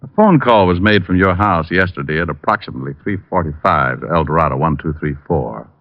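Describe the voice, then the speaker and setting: A man's voice: sits low, animated, fairly quick. An actor playing a wry, probing male private detective in 1940s radio drama style. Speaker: lead actor and narrator. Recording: dull old radio recording with music.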